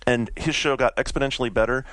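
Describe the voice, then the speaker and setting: A man hosts a gaming podcast in a loose, conversational way. His voice sits at an ordinary middle pitch. He is recorded close and dry, with no room sound around him. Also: quick pace, dry recording, low-quality recording. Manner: relaxed, rambling, leads the discussion. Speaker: podcast host. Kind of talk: casual podcast chat.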